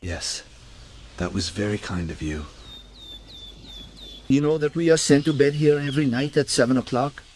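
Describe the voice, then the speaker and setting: A man's voice: mid-range voice, lively intonation, normal speed, pauses often, darkish tone, slight background noise, natural voice. A man playing an amiable, confused, wistful elderly care-home resident in a radio play. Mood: confused, plaintive. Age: older.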